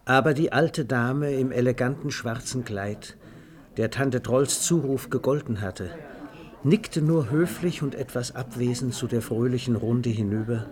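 A man narrating a radio play in a scripted literary style, with a husky voice. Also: medium pitch, measured pace, a little mellow.

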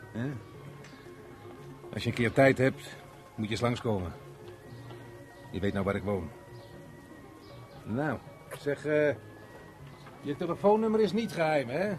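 Male actor, radio drama, cheeky middle-aged unemployed neighbour, chatty, wry joking, mid-pitched, music underneath. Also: expressive, a little slow, pauses often, natural voice. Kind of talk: Dutch radio play acting.

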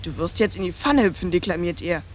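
A woman's voice: on the low side, expressive, brisk, a little hiss or hum, natural voice. A woman voicing a precocious, bluntly scornful young French girl in a radio play, telling stories in bossy, chatty slang. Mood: gleeful storytelling.

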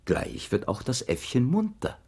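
An actor as a playful storyteller, narrating a rhymed children's radio play in a gravelly, husky voice. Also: male voice, mid-range voice, wildly swooping pitch, unhurried, a little mellow, heavily acted.

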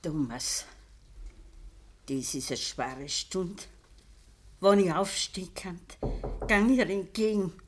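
A woman playing a dying, middle-aged peasant mother in a radio play, humble and sorrowful, speaking halting Bavarian dialect in a weak voice. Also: fairly low pitch, animated, slight background noise. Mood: weary, resigned. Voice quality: husky, weak. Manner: halting, deferential.

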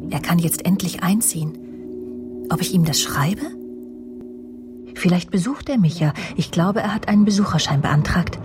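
An actress playing an evasive, self-absorbed TV journalist in prison, haughty and defensive with coy pleading, in a German radio crime drama. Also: female voice, on the low side, moderate pace, pauses often, natural voice. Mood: brooding.

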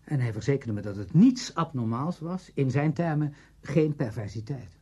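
A man's voice: mid-range voice, animated, moderate pace, warm tone, breathy edge. A man acting in a radio play as a cynical, self-justifying friend, glib and emphatic.

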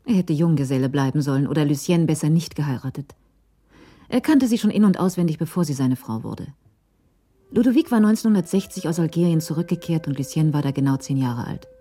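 A woman's voice: low-pitched, a little fast, mellow. A woman giving scripted narration in a radio crime drama.

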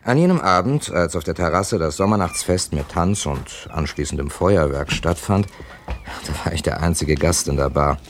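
A worldly writer in his thirties with a low voice and a dry, urbane way of talking. He tells his own story in the manner of a seventies radio crime play. The old archive recording carries noticeable noise. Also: animated, moderate pace. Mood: wry, composed. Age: 30s. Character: Edgar Dickens, worldly thirty-six-year-old male crime writer from London. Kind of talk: 1970s German radio crime drama, first-person narration and dialogue.

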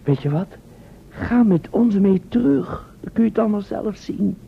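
An actor playing a breezy, colloquial visitor to a past era in a radio play. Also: male voice, high voice, expressive, normal speed, vocal fry, slightly rough, full character voice. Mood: breezy, explaining. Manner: casual, matter-of-fact, colloquial. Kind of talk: old Dutch radio play acting. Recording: muffled old radio recording.

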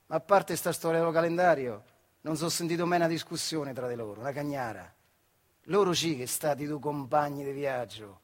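A working-class man from a factory, telling his memories in earthy dialect. His voice is high for a man, with a central Italian Marche accent and an expressive, theatrical delivery. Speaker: stage actor performing a monologue.

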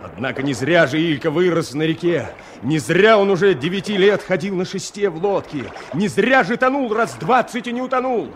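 A man's voice: sits high, expressive, moderate pace, noisy background. A man acting as the storyteller of a radio drama, delivering scripted narration with dramatic feeling. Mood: urgent. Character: dramatic male storyteller of river adventure.

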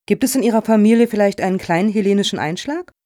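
Woman acting soothing polite panel chair lady, soft dark tone, super dry sound, radio satire. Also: mid-range voice, quick pace, no room sound, lightly acted. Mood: soothing, flattering. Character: conciliatory, flattering female foundation panel member. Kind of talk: satirical radio play dialogue.

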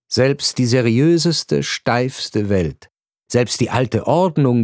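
A man reading scripted literary narration for a radio production, in a measured, deliberate, essayistic manner. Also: medium pitch, darkish tone, no room sound. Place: radio studio. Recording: dry studio recording.

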